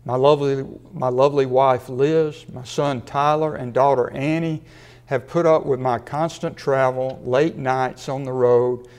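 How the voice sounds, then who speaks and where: A man in his sixties from Mississippi, a sports writer delivering a prepared acceptance speech in a measured way with a dry wit. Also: medium pitch, fairly even intonation, a little mellow, clear, resonant tone. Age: 60s. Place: studio with a sponsor backdrop.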